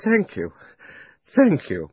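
A man puts on an old gentleman, shaken and feeble, fussing in a faint voice. The pitch climbs and falls unsteadily and the tone stays husky. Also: wildly swooping pitch, slow pace, dry recording, muffled recording, exaggerated voice. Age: older. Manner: faint, fussy.

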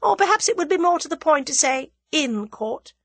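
A woman narrator performs a worldly, haughty female schemer from a storybook. Her voice sits high and swings widely in pitch, airy and coolly amused. She taunts with scornful confidence in a dry, close recording. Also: expressive, normal speed, a bit bright, dry recording. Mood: cool defiance. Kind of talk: dramatised audiobook character dialogue.

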